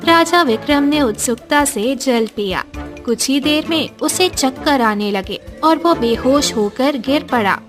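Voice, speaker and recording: High-pitched woman narrating a storybook tale. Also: lively intonation, unhurried. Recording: background music under the voice.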